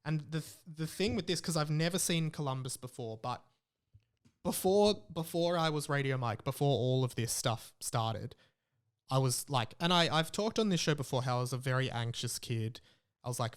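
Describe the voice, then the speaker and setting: A young man with an Australian accent hosts a podcast solo, talking in a casual, candid and rambling way. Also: mid-range voice. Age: young adult. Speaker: podcast host.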